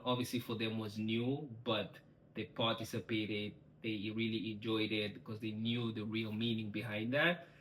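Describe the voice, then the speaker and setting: Young guy from congo on a couple's vlog, low flat voice, echoey room, faint hum behind. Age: young adult. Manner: relaxed, steady, filler-heavy. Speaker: vlog co-host. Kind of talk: casual chat.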